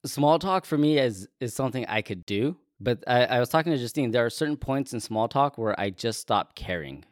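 A man's voice: mid-range voice, animated, a little fast, no room sound. A man co-hosting a casual Bible podcast, chatting with self-deprecating jokes and frequent laughs into a close microphone. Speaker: podcast co-host. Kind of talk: casual chat about the Bible.

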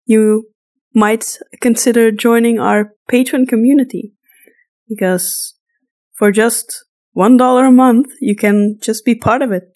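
A woman's voice: a little high, fairly even intonation, a little slow, a little mellow. A woman co-hosting a podcast in casual, unscripted chat, chiming in to add to the points being made. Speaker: podcast co-host.

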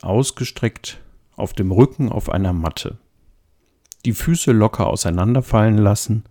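A man calmly giving an introduction to a body scan meditation, speaking slowly and gently. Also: on the low side. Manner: slow, gentle, soothing. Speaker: meditation guide.

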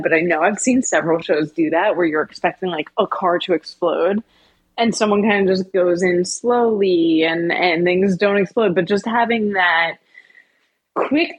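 A woman's voice: fairly low pitch, slightly rough, poor audio. A woman co-hosting a podcast in casual, conversational discussion. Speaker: podcast co-host.

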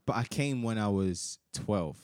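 Bantering young Nigerian-born man, low voice. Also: animated, a little slow, no echo, studio quality. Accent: light Nigerian. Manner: laughing, teasing, says bro a lot. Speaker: podcast host. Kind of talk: casual chat.

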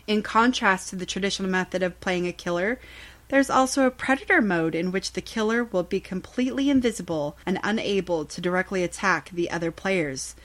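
A woman hosting a podcast segment, reading a scripted news report. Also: medium pitch.

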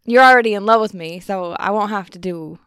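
A woman's voice: medium pitch, fairly quick, a bit bright, smooth, no room sound. Woman cohost on a movie podcast, playful, laughs a lot, dry close sound. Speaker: podcast co-host. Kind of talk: casual movie podcast chat.

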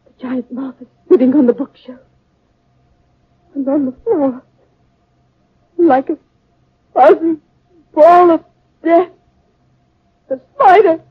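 A woman's voice: high voice, takes it slowly, long pauses, highs cut off. A woman playing a terrified, breathless wife in an old time radio horror drama. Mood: fearful dread. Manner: breathless, urgent, melodramatic. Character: terrified wife hiding from giant moth and spider.

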